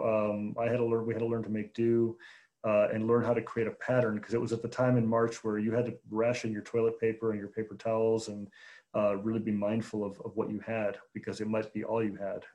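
Low-voiced journalist guest from Chicago, forties, flat and mellow delivery. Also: male voice. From Chicago, United States. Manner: measured, many fillers and restarts. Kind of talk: thoughtful interview answers.

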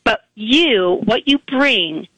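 A hoarse grandmother-aged woman speaking over a phone line, with a bright, forward tone. She talks earnestly and warmly, stopping and restarting her sentences as she goes. The sound is dry and close. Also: on the high side, animated, no echo. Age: older. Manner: earnest, emotional, halting with restarts. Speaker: caller. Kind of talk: heartfelt testimonial on a call-in radio show.

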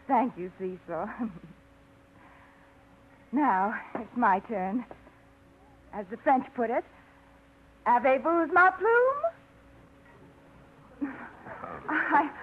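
An actress playing an eager small-town woman in a 1950s radio drama, bright and a little flustered. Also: high-pitched, lively intonation, slow pace, long pauses. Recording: tinny, hissy 1950s radio broadcast.

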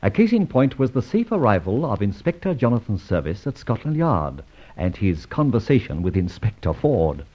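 An actor playing a suave gentleman adventurer and secret vigilante in an old radio serial, with an urbane, wry, formally polite manner. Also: on the low side, very animated, normal speed, slightly rough. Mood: wry, measured. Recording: dull, lo-fi old radio recording.

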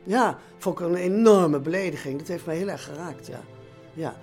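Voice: mid-range voice, normal speed, a little mellow, faint music behind. A person telling anecdotes in an interview in a hesitant way, acting out quoted exchanges.

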